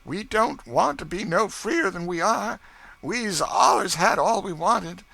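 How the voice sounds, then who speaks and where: A man putting on a group of earnest farm workers pleads in simple folksy dialect, pitched far above a normal speaking voice. The tone is bright and pressing in this storybook reading. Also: expressive, measured pace, gravelly, exaggerated voice. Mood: pleading. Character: crowd of freed farmhands, earnest and pleading.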